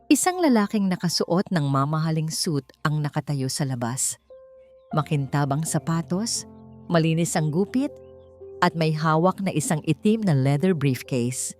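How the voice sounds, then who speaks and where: A woman's voice: low-pitched, darkish tone. Woman narrating a dramatic romance story over music.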